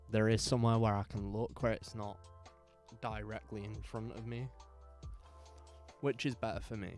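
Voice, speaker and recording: A man with a low, bassy voice and a bit of gravel in his throat talks loosely about his microphone, circling back and repeating phrases. He is recorded on a dynamic mic at shifting distances. Faint music plays underneath. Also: a little mellow. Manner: rambling, repeats himself, swears casually. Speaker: podcast host. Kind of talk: casual talk about microphone settings. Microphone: dynamic microphone, distance varies.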